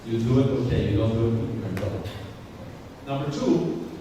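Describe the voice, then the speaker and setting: Male guest lecturer, non-native English, deliberate and repetitive; roomy far-off hall recording, audible noise. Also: medium pitch. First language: not English. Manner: lively, repeats points, checks in with "okay?". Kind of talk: lecture to students.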